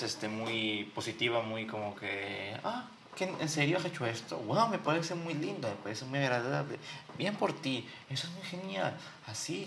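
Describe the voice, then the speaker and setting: A man's voice: mid-range voice, gravelly, fairly bright tone, slight background noise. A man with a Peruvian Spanish accent commenting on a game in a mocking, joking way. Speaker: gaming host and dating instructor. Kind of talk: let's play commentary.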